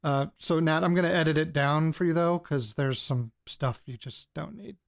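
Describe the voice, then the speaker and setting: An actor playing a jittery, bitter young man in an audio drama, speaking in a halting, sardonic, conversational way. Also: on the high side, vocal fry, dry recording, clean studio sound. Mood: weary, skeptical. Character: jittery, bitter young man investigating his grandparents' past. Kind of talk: audio drama, naturalistic spoken recorded diary.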